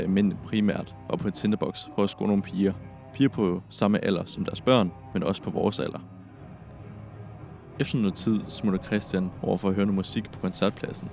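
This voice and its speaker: Low-pitched, creaky young man narrating. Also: dark tone. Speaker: narrator of own festival story. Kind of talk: scripted podcast narration. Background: music.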